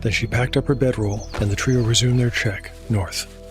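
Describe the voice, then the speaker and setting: A man's voice: medium pitch, steady tone, normal speed. A man narrating a scripted fantasy tale as the storyteller and game master. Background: music bed.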